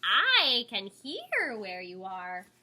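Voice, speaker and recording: A woman voicing a greedy male bat villain in a storybook, with a shrill squealing cackle. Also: huge pitch swings, extremely slow, sharp tone, slight background noise, heavily acted. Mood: gleeful.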